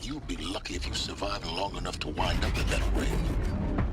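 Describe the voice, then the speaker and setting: A man plays a knowing guide in a video game, cool and grim. His voice sits fairly low. Loud music swells behind it. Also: normal speed, natural voice. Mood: wry warning.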